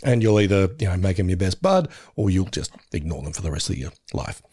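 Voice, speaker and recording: A man's voice: deep voice, animated, brisk, dark tone, husky, no room sound, studio quality. A man co-hosting a casual podcast, joking around and laughing often. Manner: jokey, self-mocking, laughs often. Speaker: podcast co-host.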